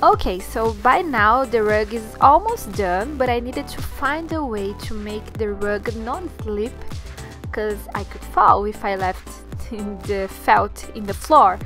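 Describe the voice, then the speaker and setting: A young woman from Brazil with a Brazilian Portuguese accent, talking through a sewing tutorial in her second language with chatty, slightly halting phrasing. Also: a little high, fairly bright tone. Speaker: sewing channel host. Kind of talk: friendly sewing tutorial talk and voiceover.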